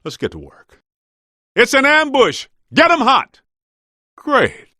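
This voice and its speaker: An actor playing a streetwise, wisecracking, grumbling ghost hunter in a scripted video game. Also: male voice, very high-pitched, huge pitch swings, takes it slowly, sharp tone, no room sound, clean studio sound, full character voice. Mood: urgent shouting. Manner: wry, streetwise, complaining.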